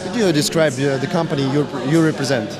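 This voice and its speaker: A man's voice: a little high, fast. A man working as an interviewer, asking brief questions. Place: crowded conference venue. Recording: crowd chatter at a busy event. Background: crowd chatter.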